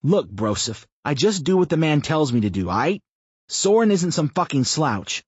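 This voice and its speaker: A man's voice: on the high side, lively intonation, normal speed, a little mellow, slightly rough, dry recording, voice pushed up. A male narrator voicing a swaggering, profane man who gives orders in an audiobook. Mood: firm, cocky. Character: cocky foul-mouthed man giving orders. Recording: muffled lo-fi audio.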